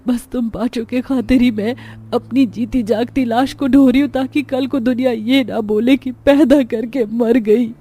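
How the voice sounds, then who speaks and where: A woman's voice: fairly high pitch, steady tone, unhurried, hardly any pauses, warm tone, breathy edge. A woman voicing a poor, overworked mother in a cartoon story, plaintive and melodramatic. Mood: sorrowful. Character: poor, overworked, long-suffering mother of many daughters.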